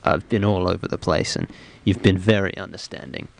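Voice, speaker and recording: A man hosting comic radio banter, his voice hoarse from illness. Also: low-pitched, fairly quick, a little hiss or hum. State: hoarse, ill. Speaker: radio show host. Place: radio studio.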